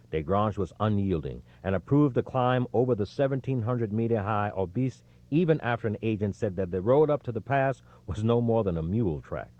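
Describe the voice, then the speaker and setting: Low, expressive male audiobook narrator. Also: muffled recording. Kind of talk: scripted nonfiction audiobook narration.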